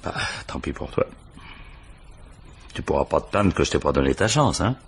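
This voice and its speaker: A man acting a gruff, sly police commissaire in a radio drama, with a colloquial, pressing way of talking. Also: extremely low pitch, sing-song, moderate pace, slight background noise, full character voice. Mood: insistent. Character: gruff, pushy male police commissaire, world-weary and sly.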